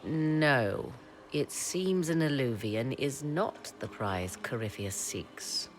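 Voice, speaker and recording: A woman's voice: a bass voice, expressive, slow pace, full character voice. A woman playing a haughty, enigmatic sorceress in a fantasy game, speaking in arch, formal, archaic phrases. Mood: defensive. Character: haughty, enigmatic sorceress advisor, learned in old legends. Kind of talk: dramatic fantasy game acting. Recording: clear background ambience noise.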